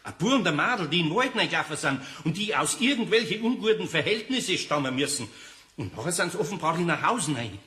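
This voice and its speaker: A man's voice: on the high side, fast talker. A man playing a good-natured older master carpenter in a German children's radio play, with a folksy Bavarian accent and an easily amused manner. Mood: astonished. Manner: folksy, animated, chuckling speech with interjections.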